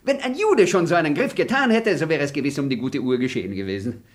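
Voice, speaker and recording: A man's voice: on the high side, lively intonation, fast talker, lightly acted. An actor voicing a sly, fawning and bigoted male estate bailiff in a radio play, talking in a wheedling, theatrical, giggly way. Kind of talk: German radio play acting.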